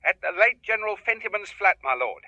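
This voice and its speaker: Tinny telephone filter, manservant, English accent, very sharp tone, animated pitch, formal, deferential. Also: male voice, fairly quick, full character voice. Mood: dutiful, precise. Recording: thin, tinny telephone line.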